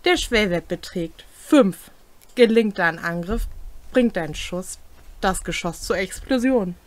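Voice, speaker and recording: A young woman reads game rules aloud in a casual setting. She takes it slowly, item by item, in a medium-pitched voice. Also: lively intonation. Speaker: co-host presenting game rules. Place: small home room, indoors.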